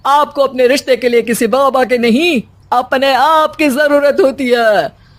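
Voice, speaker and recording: A woman's voice: high voice, a little slow, no room sound. A woman voicing a pompous, preachy holy man giving remedies in a cartoon story. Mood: preaching.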